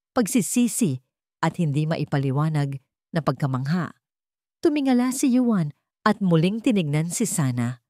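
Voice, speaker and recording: A woman with a Filipino accent narrating a scripted dramatic story in a measured, solemn way. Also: low-pitched, mellow, no room sound, clean studio sound.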